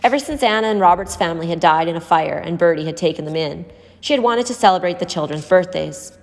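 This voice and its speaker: Lowish-voiced woman narrator in echoey reverb. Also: lively intonation, fast talker, creaky. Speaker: audiobook reader. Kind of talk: scripted story narration.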